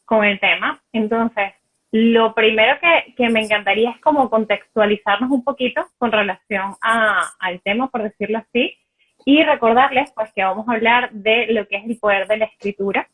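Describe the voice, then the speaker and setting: A woman hosting a casual video call interview, relaxed and hesitant, with many fillers. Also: on the high side, moderate pace, poor audio. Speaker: host. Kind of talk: casual live video chat.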